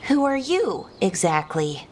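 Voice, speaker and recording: A woman's voice: mid-range voice, slight background noise. An actress playing an eager, chatty young female elven magic researcher who thinks aloud in a fantasy video game. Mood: surprised, wary. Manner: excitable, halting, thinking aloud. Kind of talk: cinematic video game dialogue.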